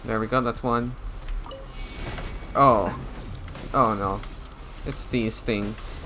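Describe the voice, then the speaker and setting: A man giving casual gameplay commentary in a halting, low-key way, often trailing off. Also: steady tone, background noise. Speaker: gamer. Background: game music.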